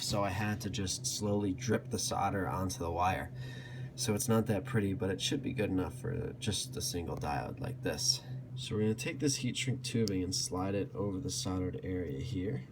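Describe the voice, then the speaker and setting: A man with a fairly low voice narrates a do-it-yourself tutorial. He talks casually and plainly, going step by step through what he is doing. The homemade recording has clear noise and some clicking behind him. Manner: plainspoken, explaining each step as he works. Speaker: DIY tutorial host. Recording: clearly noisy hobby video with clicks.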